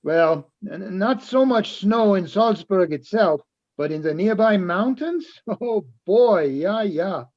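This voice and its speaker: A man's voice: sits high, expressive, slow, a little mellow, dry recording, muffled recording, exaggerated voice. A man playing a jovial semi-retired Austrian electrician with a German accent, in an amateur radio-style play.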